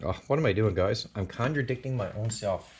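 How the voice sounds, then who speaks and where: A man's voice: lowish voice, brisk, slight background noise. Chatty Newfoundland man in a garage. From Newfoundland, Canada. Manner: chatty, rambling, with frequent fillers and restarts. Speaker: channel host. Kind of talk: casual DIY garage tutorial. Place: home garage.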